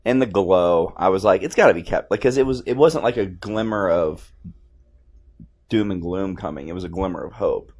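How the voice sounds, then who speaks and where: A man giving casual, slangy, excitable fan commentary as he watches a movie. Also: deep voice, moderate pace. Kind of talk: casual film reaction commentary.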